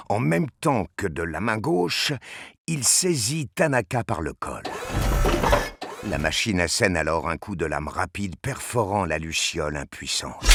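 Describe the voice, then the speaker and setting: A man's voice: very deep voice, a bit bright, slight background noise. A man narrating a sci-fi audio drama in a scripted, dramatic storytelling style.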